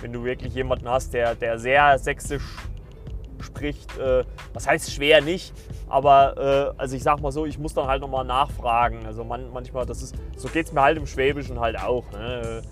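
A man's voice: medium pitch, fairly even intonation, moderate pace, soft background music. A man in his thirties from Saxony, hosting a podcast in a casual, meandering way full of filler words and restarts. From Saxony, Germany. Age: 30s. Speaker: podcast host. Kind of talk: casual rambling monologue.

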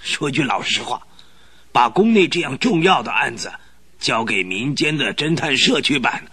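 An actor playing a pompous, irritable old duke who grunts and clears his throat in a radio drama. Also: mid-range voice, expressive, normal speed, bright, forceful tone, heavily acted. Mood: indignant. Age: older.

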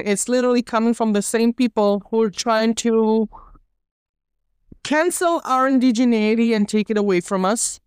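A woman's voice: fairly high pitch, smooth, no echo, clean studio sound. A woman guest on a discussion podcast, speaking English as her second language. She argues emphatically and indignantly, often restarting her sentences.

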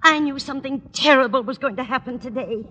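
Fretful older landlady, bright voice. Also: high-pitched, quick pace. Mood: alarmed. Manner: fretful, melodramatic. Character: anxious, gloomy, scatterbrained older landlady. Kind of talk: 1940s radio comedy acting. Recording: old radio recording, hall reverb, faint noise.

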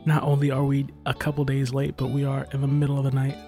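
A young man co-hosting a casual late-night podcast, keeping his voice soft and hushed so he won't wake a sleeping child. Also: medium pitch, monotone, quick pace, soft background music. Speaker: podcast co-host.

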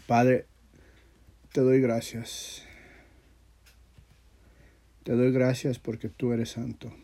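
A man, stuffed up with a sore throat, teaching and praying as a religious leader in an informal, earnest, halting way with frequent repetition. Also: mid-range voice, monotone, warm tone. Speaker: religious teacher leading an audio class. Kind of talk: informal sermon and prayer.

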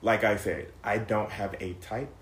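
A man's voice: lowish voice, fairly even intonation, moderate pace. A man in his thirties telling a painful personal story in casual chat, rambling and emotional with lots of fillers and restarts, swearing freely. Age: 30s. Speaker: podcast guest sharing a personal story. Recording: echoey room.

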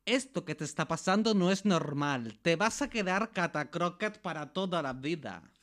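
A woman's voice: on the low side, expressive, moderate pace, natural voice. A woman with an accent from Spain voicing a mocking, gloomy anxiety that intrudes and taunts, in an amateur comic radio play. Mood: taunting.

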